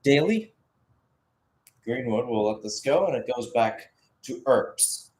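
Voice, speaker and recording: Male sports commentator for live football radio call; mid-pitched, halting in places, self-correcting, surging with the play. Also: lively intonation, a little mellow.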